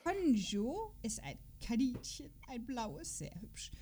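A woman's voice: fairly high pitch, sing-song, takes it slowly, mellow. A woman hosting a casual podcast, chatting and reading out bits with playful, gushing exclamations. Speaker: podcast host. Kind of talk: casual podcast chat, reading out character profiles.

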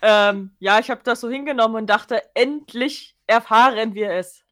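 A woman's voice: on the high side, normal speed, fairly bright tone. A woman chatting casually as a podcast co-host, with a dry, matter-of-fact way of talking. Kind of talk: casual chat about an audio drama.

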